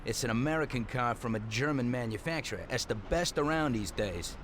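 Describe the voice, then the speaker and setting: Casting: man to play helpful crooked mechanic for a crime game, mid-pitched, instructive, matter-of-fact; faint city noise behind. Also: fairly quick, a bit bright, close to an everyday voice. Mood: conspiratorial, assured. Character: shady, helpful car mechanic tipping off a thief. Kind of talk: video game voice acting.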